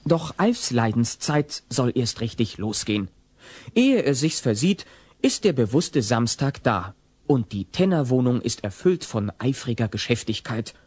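A man with a husky voice narrating a scripted radio play. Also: mid-range voice, big pitch swings, a little slow, a little mellow, dry recording.

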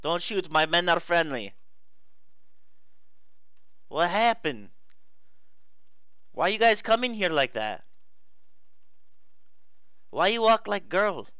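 A man's voice: high-pitched, very animated, slow, pauses often, clear, resonant tone, no room sound, full character voice. A man playing a hostile local warlord who speaks broken English and curses at foreign soldiers. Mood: indignant. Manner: broken English, pleading then cursing and shouting. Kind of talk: milsim roleplay.

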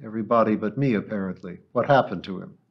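A man doing a gruff, blunt ship captain. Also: lowish voice, fast, dull audio. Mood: brusque. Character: gruff middle-aged male ship captain.

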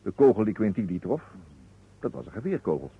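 Deep-voiced, shrewd chief detective. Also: male voice, big pitch swings, brisk, breathy edge, full character voice. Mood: musing, probing. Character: shrewd, unhurried male chief police detective. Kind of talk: old Dutch radio detective drama acting. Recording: muffled, narrow-band vintage radio recording.

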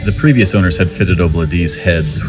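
A man narrating a sailing vlog in a calm, explanatory voice-over. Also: low-pitched, moderate pace. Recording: voice-over with music behind.

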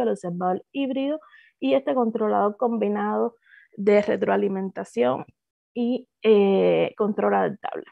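A woman's voice: medium pitch, vocal fry, no room sound. Cuban woman presenting over a tinny call. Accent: Cuban Spanish. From Cuba. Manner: hesitant, many fillers and restarts. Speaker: doctoral student presenting thesis. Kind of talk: academic slide presentation. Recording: dry, phone-like video call audio.

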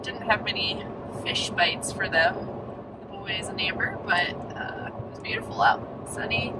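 A woman's voice: mid-range voice, unhurried. A young woman giving a cheerful, easygoing recap of a road trip in casual travel-diary style. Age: young adult. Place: in a truck and outdoors at a campsite. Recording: outdoor camera audio with clear background noise.

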